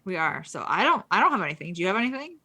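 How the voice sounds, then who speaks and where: A woman co-hosting a casual podcast, chatting quickly with lots of fillers and restarts. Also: on the low side, sing-song, pushed and bright. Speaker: co-host. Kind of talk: casual gaming podcast chat.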